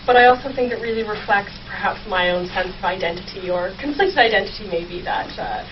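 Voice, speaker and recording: A young woman researcher explaining her work as an interview guest, speaking thoughtfully and haltingly with short pauses. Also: mid-range voice. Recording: dull sound, faint noise, distant mic.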